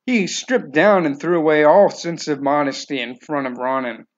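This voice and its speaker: A man's voice: fairly high pitch, moderate pace. A man giving scripted narration of a novel, in a slightly dull, lo-fi recording.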